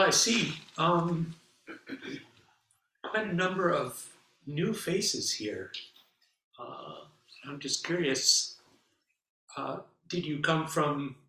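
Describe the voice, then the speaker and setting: A man speaking as an abbot, opening a class with relaxed, hesitant remarks full of pauses. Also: a little high. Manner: relaxed, with many fillers and pauses. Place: meditation hall. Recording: echoey hall, mic far off.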